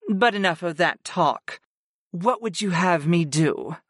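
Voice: a little slow, a bit bright, no echo, studio quality. A narrator voicing a devoted female winged succubus servant from a fantasy audiobook, submissive and pleading, with a sighing purr. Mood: sighing, yearning. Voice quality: high, sighing, purring.

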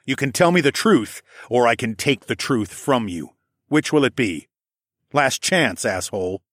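A man's voice: medium pitch, lively intonation, unhurried, a bit bright, no room sound, studio quality. A man voicing a fierce, smug dragon shifter hero with a firm, threatening manner in a fantasy audiobook. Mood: menacing.

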